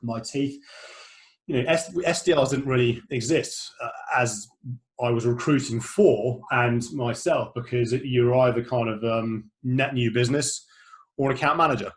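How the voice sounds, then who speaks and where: A man's voice: medium pitch, normal speed. A middle-aged man hosting a podcast from home over a remote call, talking in a loose, rambling way with lots of fillers and restarts. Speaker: podcast host. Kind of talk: casual podcast interview.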